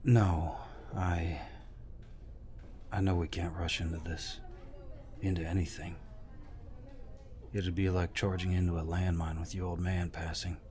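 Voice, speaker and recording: An actor playing a gruff, protective older war veteran in an intimate audio roleplay, deep-voiced, tender and teasing. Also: male voice, mellow.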